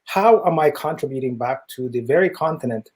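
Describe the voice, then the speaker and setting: A middle-aged man with an East African accent speaks in a mellow, relaxed voice of medium pitch. He explains his points as an interview guest, earnest and measured, often feeling for the next phrase. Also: breathy edge. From East Africa, Ethiopia and Kenya. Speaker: interview guest, tech entrepreneur.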